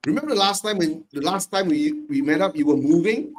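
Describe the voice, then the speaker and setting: A man from Malaysia hosts a football watch-along, reacting to play with quick, repeated one-word replies. His voice sits a little higher than most men's. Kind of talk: casual chat with live match reactions.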